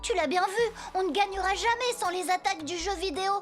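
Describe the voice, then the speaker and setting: A woman's voice: very high-pitched, quick pace. A woman voicing a bossy, eager child who pilots robots, insistent and commanding, in a French cartoon dub. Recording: music behind the voice.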